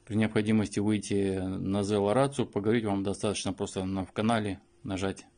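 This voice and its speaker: A man's voice: lowish voice, level tone, a little fast, a little mellow. A man giving an informal product review and tutorial, explaining things in a matter-of-fact way. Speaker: phone reviewer.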